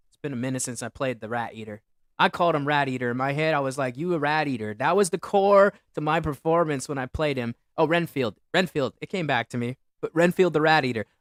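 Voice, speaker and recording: A young man hosting a solo livestream, rambling and boasting loudly about himself. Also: sits high, no room sound, clean studio sound. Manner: boastful, rambling, self-aggrandizing. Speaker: livestream host.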